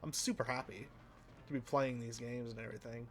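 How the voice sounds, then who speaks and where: A man casually commenting while he plays a racing game, rambling and self-deprecating, with a flat, slightly nasal voice that trails off into mumbles. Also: mid-range voice, a little fast. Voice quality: sounds flat and a bit nasal, by his own account. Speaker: let's play host.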